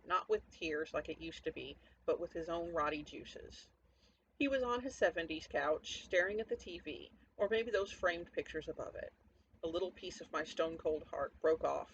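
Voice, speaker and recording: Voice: medium pitch, fairly bright tone. Narrator with dull, lo-fi sound. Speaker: narrator reading a story. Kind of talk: first-person fiction narration. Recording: dull lo-fi sound, background noise.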